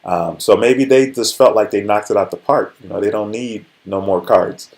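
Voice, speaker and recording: Guy in his 40s doing a livestream, kinda low voice, casual motivational talk, checks in with viewers a lot. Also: normal speed, a little mellow. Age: forties. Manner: conversational, frequently checks in with listeners. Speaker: livestream host.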